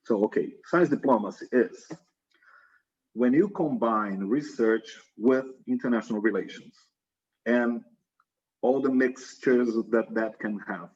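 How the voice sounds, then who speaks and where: A middle-aged man from Brazil who speaks Portuguese natively, talking in English with a Brazilian accent as an interview guest. He speaks in a relaxed, thoughtful way, pausing often on "uh". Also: medium pitch, husky. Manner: relaxed, thoughtful, with frequent "uh" pauses. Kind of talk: casual conversational interview. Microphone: video call. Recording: dull lo-fi video call audio.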